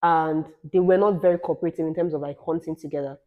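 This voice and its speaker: A young woman from Nigeria chats casually as a podcast co-host, her voice sitting a little low. She talks emphatically, rambling with restarts and repeating herself. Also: steady tone, fast talker, phone-quality sound. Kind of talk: casual chat.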